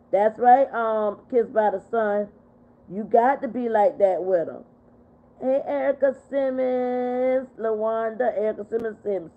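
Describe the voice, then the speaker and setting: A woman in her fifties hosting a casual livestream chat, reading out viewer comments and answering them in an animated way. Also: medium pitch. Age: 50s. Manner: animated, talks back to viewers. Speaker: livestream host. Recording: phone-like narrow sound.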